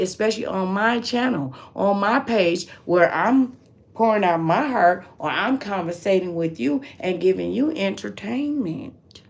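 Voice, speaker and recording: A raspy-voiced woman hosting a live stream, talking bluntly and preachily. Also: mid-range voice. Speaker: livestream host.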